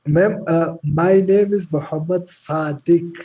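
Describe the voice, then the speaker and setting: A man's voice: fairly high pitch, steady tone, slow pace, mellow, slightly rough, muffled recording. A man from Pakistan with a Pakistani accent, a Pashto speaker, talking hesitantly with many fillers on a video call. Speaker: chat participant. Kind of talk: casual video call chat.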